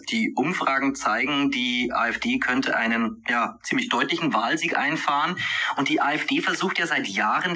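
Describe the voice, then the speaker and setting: Husky, bright-voiced male radio interviewer. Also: mid-range voice. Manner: measured, formal questioning.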